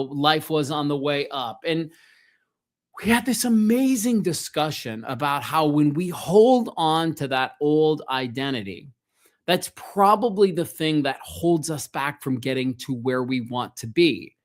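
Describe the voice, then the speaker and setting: A middle-aged man hosting a solo motivational podcast, speaking earnestly and emphatically, straight to the listener. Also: on the high side. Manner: earnest, emphatic, addresses listener directly with rhetorical questions. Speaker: podcast host and self-help coach.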